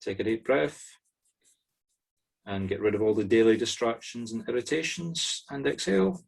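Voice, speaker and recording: A Scottish man leading a guided meditation on a video call, soothing, with pauses between short, simple instructions. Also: medium pitch, vocal fry, husky. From Scotland. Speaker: spiritual medium leading a meditation group. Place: online video-call circle.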